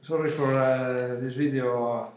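A man in his twenties from Italy, speaking English with an Italian accent, unboxing an album at home, halting with many fillers and apologies. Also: mid-range voice, fairly even intonation, echoey room. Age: 20s. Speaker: vlogger. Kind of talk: casual unboxing vlog. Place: room at home, indoors. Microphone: webcam at arm's length.

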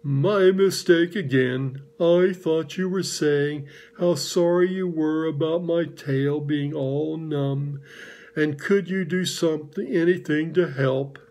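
A mournful old donkey from a storybook drags out every word in a slow, sorrowful drawl, as a man puts him on. The voice sits a little high for a man. Each sentence sinks with resignation. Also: a little mellow.

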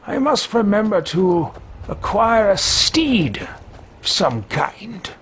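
A man puts on a haughty wizard-for-hire who mutters grandly to himself as he treks. He speaks very slowly, stretching each phrase, and his pitch swings widely and a little high. A faint hiss sits under the headset recording. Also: big pitch swings, a bit bright, exaggerated voice. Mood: musing. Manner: pompous, theatrical, slow and drawn out. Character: arrogant male wizard mercenary talking to himself. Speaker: let's play roleplayer. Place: small room with bookshelves, indoors. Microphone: headset mic at a desk webcam.

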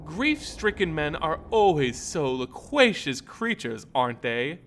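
A man's voice: on the high side, very animated, a little slow, fairly bright tone, slight background noise, heavily acted. A man voicing a haughty female vampire lord in a fantasy story, coy, mocking and condescending. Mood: smug.

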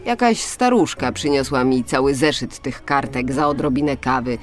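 A woman's voice: extremely low pitch, normal speed, faint music behind. A woman voicing a friendly, plain-spoken male shopkeeper in a children's storybook reading. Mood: obliging.

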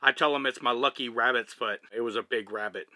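A man in his thirties with a Texan accent explains his camera rig step by step, relaxed and chatty. His voice is bright and sharp. It is recorded dry and close inside a small truck cab. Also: medium pitch, brisk, no room sound. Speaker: vlogger. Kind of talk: casual gear demonstration. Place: small living cabin of a truck, indoors.

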